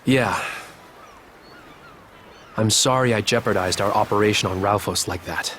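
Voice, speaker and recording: An actor playing a somber, haunted young man in a video game cutscene, speaking in an earnest, subdued and measured way. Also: on the low side, fairly bright tone, a little hiss or hum. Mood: contrite, resolved.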